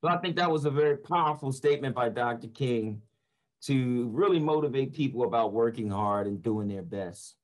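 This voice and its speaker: A man's voice: mid-range voice, normal speed. An older American man from Philadelphia casually introducing material as a motivational speaker. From Philadelphia, United States. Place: small home office, indoors. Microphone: webcam video call.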